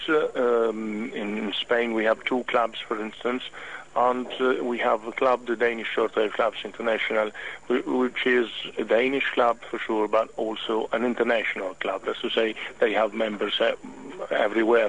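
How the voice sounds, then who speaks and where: Gravelly Italian-accented man, halting. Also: on the low side, fairly bright tone, slight background noise, low-quality recording. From Italy. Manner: halting, searching for words in a second language. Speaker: hobby club official, interview guest. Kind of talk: radio interview answers.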